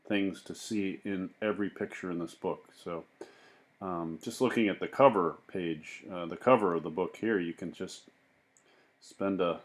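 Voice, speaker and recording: A man reading a picture book aloud in an expressive, deliberate way, with casual asides. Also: low voice. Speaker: children's book reader.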